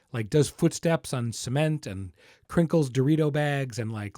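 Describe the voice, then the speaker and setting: A man's voice: medium pitch, darkish tone, dry recording, studio quality. A middle-aged man hosting a podcast, speaking casually and wryly straight to listeners. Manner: conversational, wry, informal. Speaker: podcast host and creator. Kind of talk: casual podcast address, answering listener questions.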